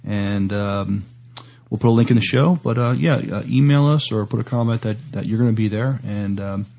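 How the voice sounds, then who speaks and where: A man co-hosting a podcast in casual chat, speaking in a hesitant, halting and agreeable way. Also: on the low side, vocal fry, a little mellow. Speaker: podcast co-host.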